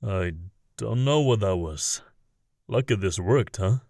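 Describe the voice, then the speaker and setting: A man's voice: fairly low pitch, lively intonation, takes it slowly, a little mellow, no room sound, clean studio sound. An actor playing a casual, unflustered man who is an ally in an occult mystery, speaking with understatement in an audio drama. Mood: calm, relieved.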